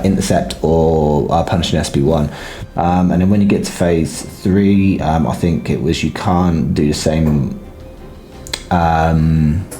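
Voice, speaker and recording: A deep-voiced man talks through a mobile game in a relaxed, halting way, his throat a little sore. His voice is dark and mellow, and the room gives it a noticeable echo. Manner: hesitant, filler-heavy, low-key. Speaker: gaming guide creator. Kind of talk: casual game commentary. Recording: roomy echo.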